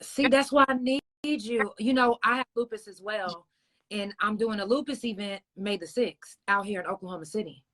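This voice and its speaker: A woman's voice: mid-range voice, normal speed, fairly bright tone, rough recording. A woman hosting a casual interview podcast, chatting in an affirming, slangy way. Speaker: podcast host.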